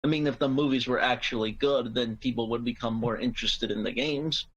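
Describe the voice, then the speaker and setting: A man's voice: mid-range voice, fairly even intonation, brisk, a bit bright, husky, dull audio. A man speaking as a panelist in a casual group discussion. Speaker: podcast panelist.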